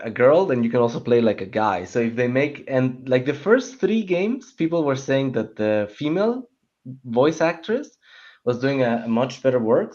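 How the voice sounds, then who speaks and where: Very animated man on dull, lo-fi audio. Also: mid-range voice. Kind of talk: casual chat.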